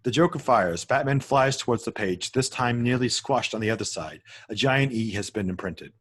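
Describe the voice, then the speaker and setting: A man's voice: medium pitch, animated, moderate pace, fairly bright tone, breathy edge. A man narrating a screenplay at a table read, reading out scene headings and action as written. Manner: stumbles and corrects himself while reading. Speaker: narrator reading stage directions.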